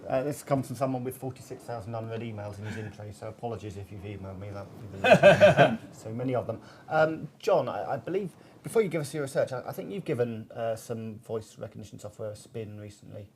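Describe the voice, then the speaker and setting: A man's voice: medium pitch, animated, fast talker, few pauses. A man casually moderating a webinar as host, with an informal, lightly jokey manner. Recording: clear background noise.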